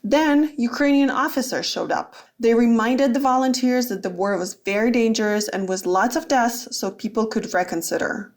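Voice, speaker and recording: A woman's voice: a little high, moderate pace, hardly any pauses, darkish tone. A woman reading scripted narration as the narrator of a radio documentary.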